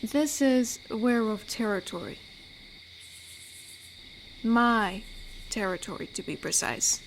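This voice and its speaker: Woman voicing an unhurried, playful werewolf forest guardian. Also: on the high side, expressive, slight background noise. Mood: curious, teasing. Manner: unhurried, teasing, conversational with pauses. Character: amiable, playful female werewolf, protector of a forest. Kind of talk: roleplay audio drama, intimate one-to-one address.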